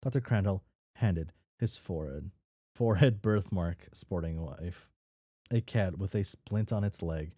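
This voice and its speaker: Low-pitched mellow man reading aloud. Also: unhurried, no room sound, studio quality. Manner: stumbles over words and repeats them; swears casually. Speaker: reader reacting to a fan fiction. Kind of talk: casual read-aloud with off-the-cuff commentary.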